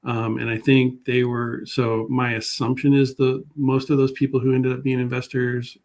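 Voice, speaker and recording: Male guest expert, medium pitch, informal, thinking aloud with halting rephrasing. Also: completely flat delivery, a little mellow. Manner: hesitant, searching for words, rephrasing. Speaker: public historian, guest speaker. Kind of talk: informal talk and question answering.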